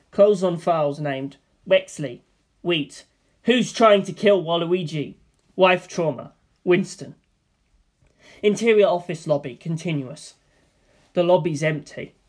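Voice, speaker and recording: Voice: medium pitch, darkish tone. A narrator reading screenplay scene headings and action lines as scripted narration.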